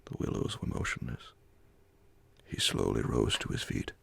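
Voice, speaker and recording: A man reading classic horror fiction as an ASMR audiobook, in a hushed, measured, breathy and gravelly voice. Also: no echo. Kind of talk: ASMR audiobook scripted narration.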